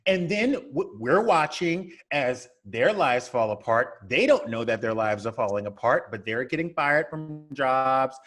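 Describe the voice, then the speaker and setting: A man's voice: medium pitch, lively intonation, unhurried, hardly any pauses, a bit bright, faint music behind. A man speaking as a podcast guest in casual chat, emphatic and repeating words for stress.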